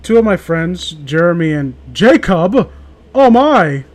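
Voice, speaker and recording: Man, higher voice, casual read-aloud host, sarcastic, sweary, cuts in with his own asides. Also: sing-song, takes it slowly. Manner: sarcastic, profane, interrupts own reading with asides. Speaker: host reading a horror story aloud with a friend.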